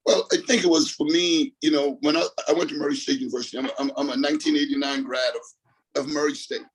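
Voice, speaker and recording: A man's voice: fairly high pitch, fairly even intonation, quick pace, breathy edge, rough recording. Rambling middle-aged man from the Bahamas. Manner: rambling, repeats words, often says "you know what I mean", laughs. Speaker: track and field coach, guest. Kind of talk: casual storytelling interview. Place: remote call on his phone. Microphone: phone.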